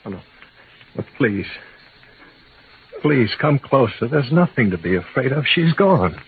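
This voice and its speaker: An actor playing an obsessed, entranced husband in a 1940s American radio drama, fervent and halting. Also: male voice, medium pitch, big pitch swings, breathy edge, faint music behind, heavily acted. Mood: awed, pleading. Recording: muffled, narrow-band 1940s radio recording.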